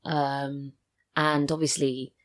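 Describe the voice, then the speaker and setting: A woman's voice: a bass voice, level tone, takes it slowly. A British woman chatting casually and reflectively as a podcast guest, telling anecdotes about her work. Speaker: podcast guest, audio drama writer and voice actor. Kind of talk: casual interview chat. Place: studio.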